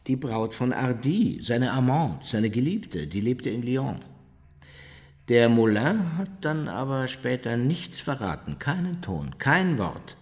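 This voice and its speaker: A man's voice: medium pitch, very animated, slow, husky, exaggerated voice. A man acting in a radio play as a boastful former Nazi officer who recounts his wartime exploits conversationally, self-satisfied and acting out what was said. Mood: boastful, animated. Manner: self-satisfied, vivid storyteller who acts out quotes.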